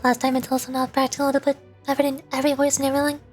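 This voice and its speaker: Female VTuber, high pitch, casual and playful with hesitant self-conscious delivery, music underneath. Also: level tone, rapid-fire, a little mellow. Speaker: VTuber game streamer. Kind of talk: casual livestream chat while voicing game dialogue.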